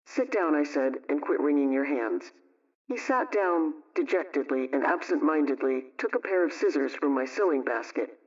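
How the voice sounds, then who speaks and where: A woman playing a brisk, motherly homemaking adviser, telling a scripted radio story in an emphatic, instructive way. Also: low voice, lively intonation, distant mic, lo-fi sound.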